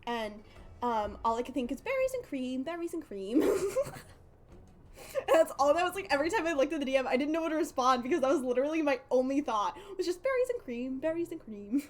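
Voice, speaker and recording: A woman's voice: high-pitched, very animated, brisk, slight background noise. A woman livestreaming a game, chatting casually in an informal, giggly, rambling way. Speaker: game streamer.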